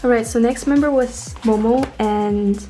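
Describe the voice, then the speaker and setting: Mellow young woman chatting, vlog style. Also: a little high, measured pace, soft background music. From Poland. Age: young adult. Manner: chatty, informal. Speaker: vlogger. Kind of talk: casual storytelling to camera.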